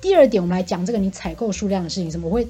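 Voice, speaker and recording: A woman's voice: medium pitch, lively intonation, rapid-fire, warm tone, slightly rough, soft background music. A woman with a Taiwanese Mandarin accent telling office anecdotes in casual, chatty, exasperated conversation. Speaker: podcast co-host venting about office life.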